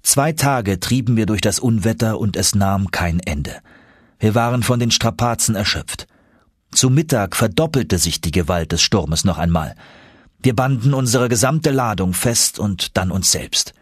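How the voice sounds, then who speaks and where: Husky-voiced man narrating a dramatic audiobook. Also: lowish voice, measured pace, dry recording, clean studio sound. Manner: expressive, suspenseful storytelling.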